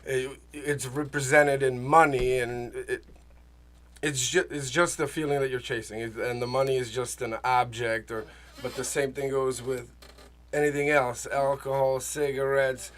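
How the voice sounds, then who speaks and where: A man from the Czech Republic, a native Czech speaker, talking casually as an interview guest, halting, repeating himself and searching for words. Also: mid-range voice, steady tone, a bit bright. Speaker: interview guest, small business owner. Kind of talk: casual, personal interview talk.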